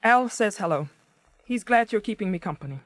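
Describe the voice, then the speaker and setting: An actress in a 1980s radio drama playing a wistful, neglected wife with a wry, expressive manner. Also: female voice, medium pitch, brisk, no echo, natural voice. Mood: bitter. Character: Carol, neglected wife and former set designer, wistful, bitter. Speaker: actress narrating and playing the lead.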